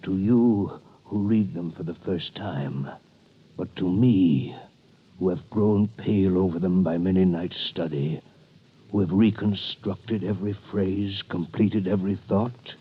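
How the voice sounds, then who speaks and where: An actor playing an old, dying priest in a prison, speaking slowly and solemnly in an old radio drama. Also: male voice, low voice, husky. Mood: earnest. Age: older. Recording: tinny old radio broadcast.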